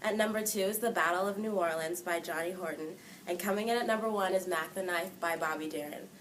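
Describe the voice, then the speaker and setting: A woman's voice: on the low side, brisk, fairly bright tone, rough recording. A woman reading scripted top-five countdowns as the entertainment reporter of an amateur newscast. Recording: faint background noise.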